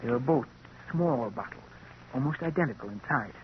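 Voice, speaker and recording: A brooding, scheming middle-aged husband , played by a man in old radio drama style, with intense, theatrical delivery. The sound is muffled, with clear hiss and noise from the vintage recording. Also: mid-range voice, animated, moderate pace, lightly acted. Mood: brooding, confessional.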